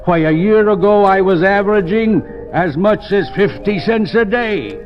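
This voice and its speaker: An actor playing a down-and-out barfly informant in a 1950s radio drama, speaking in pompous, mock-courtly flourishes full of self-pity. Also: male voice, high-pitched, normal speed, close to an everyday voice. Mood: theatrical lament. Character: down-and-out grandiloquent male barfly informant, theatrically self-pitying. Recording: muffled vintage radio recording with music.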